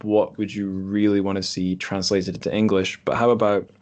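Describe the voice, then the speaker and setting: A man with a low-pitched voice hosts a podcast conversation. He talks casually and reflectively, wandering through his thoughts as he goes. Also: little pitch movement, normal speed, darkish tone. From Scotland. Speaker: podcast host. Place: group online call.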